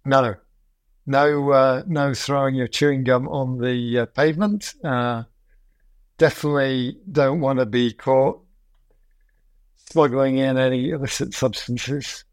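A man's voice: medium pitch, steady tone, unhurried, dry recording. A man hosting a podcast, talking in a chatty, digressive way with fillers and restarts. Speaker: podcast host. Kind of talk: casual podcast interview.

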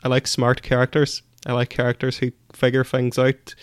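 A man's voice: mid-range voice, completely flat delivery, normal speed, clean tone, dry recording, clean studio sound. A man co-hosting a casual book discussion, giving opinions in hesitant phrasing with repeated words. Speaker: podcast co-host.

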